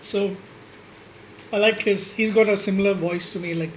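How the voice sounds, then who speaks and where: A man with a slightly high-pitched voice, ranting casually to his vlog audience in a rambling, blunt way and swearing at critics. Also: fairly even intonation, moderate pace, a little hiss or hum, poor audio. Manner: rambling, with restarts and asides. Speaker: food vlogger. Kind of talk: casual rant to camera while eating.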